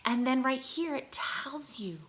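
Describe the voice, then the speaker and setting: A woman teacher reading poetry aloud to young children, explaining as she goes and putting questions to her listeners in an expressive way. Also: sits high, unhurried. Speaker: kindergarten teacher reading to pupils. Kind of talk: read-aloud lesson for young children, explaining and reciting poems.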